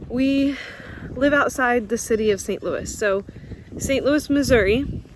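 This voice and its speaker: Slow, high-voiced young woman vlogging outdoors. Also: a little hiss or hum, poor audio. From Russia. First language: Russian. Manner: chatty, addresses viewers as guys, laughs often. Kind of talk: casual vlog walk-and-talk. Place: outdoors on a university campus.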